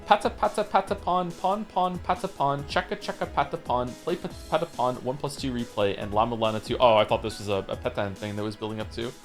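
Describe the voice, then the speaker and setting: A man streamer from Wales reading out chat messages in casual talk, with quick wry asides. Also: mid-range voice, faint music behind.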